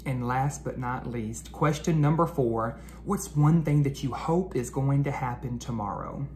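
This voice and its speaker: A man hosting a children's read-aloud, speaking expressively to young listeners with drawn-out storybook phrasing, as an educator. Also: mid-range voice, a little mellow. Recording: noticeable background noise.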